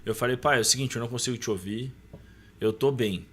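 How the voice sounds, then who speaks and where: A man's voice: medium pitch, fast talker, a bit bright, husky. A man with a southern Brazilian accent casually telling a personal story as a podcast guest, acting out dialogue as he goes.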